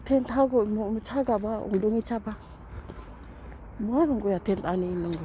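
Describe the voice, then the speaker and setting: A woman's voice: mid-range voice, moderate pace, dark tone. A woman with a Filipino accent chatting casually as a vlogger, recorded outdoors on a handheld phone. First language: Tagalog. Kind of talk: casual walking vlog chat. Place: outdoors at a riverside camping area. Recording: outdoor handheld recording with clear background noise.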